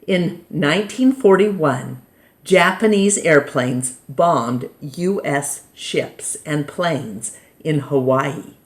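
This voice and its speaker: A woman with an American accent and a low-pitched voice reads a children's history book aloud. She takes her time, delivering short phrases one by one with clear pauses, like a teacher at storytime.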